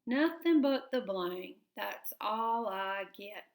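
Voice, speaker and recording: A woman's voice: mid-range voice, animated, takes it slowly, roomy sound. A woman voicing a hearty, teasing blind male mole.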